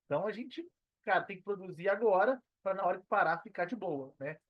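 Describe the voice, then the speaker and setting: Brazilian guy in his 30s, kinda high voice, casual podcast chat with lots of slangy tags, dry muffled-ish sound. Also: lively intonation, a little fast, no room sound. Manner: conversational, peppered with slang tags seeking agreement. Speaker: podcast guest, personal trainer and affiliate marketer. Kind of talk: casual podcast interview talk.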